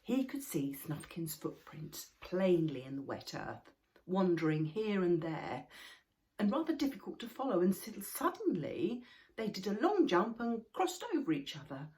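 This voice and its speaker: A woman narrating a children's story expressively and deliberately, sometimes dropping into a hush. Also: on the low side, low-quality recording. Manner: expressive, deliberate, with hushed passages.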